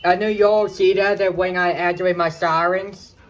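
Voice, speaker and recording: A man giving casual on-the-spot commentary for a home video, halting and exclamatory. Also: sits high, steady tone, a bit bright. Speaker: amateur vlogger filming a storm. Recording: noisy home video, ambient noise.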